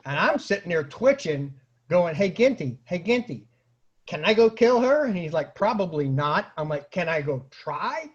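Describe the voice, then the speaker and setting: Male storyteller, high-pitched, casual and comic, acts out dialogue and noises, dull lo-fi recording. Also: big pitch swings, normal speed, slightly rough. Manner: acts out quotes and sound effects, bursts into exclamations. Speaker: storyteller and host of an online story series.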